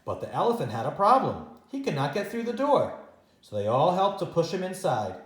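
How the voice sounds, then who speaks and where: Animated man reading a storybook, roomy sound. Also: fairly high pitch. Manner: expressive, dramatic pauses and repetition for young children. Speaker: teacher reading a children's story. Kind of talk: animated read-aloud storytime narration.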